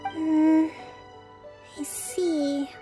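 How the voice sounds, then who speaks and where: A woman voicing a gentle, composed young priestess leader in a video game, speaking softly and politely. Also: sits high, level tone, soft background music. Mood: weary, patient. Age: young adult. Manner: polite, soft, measured.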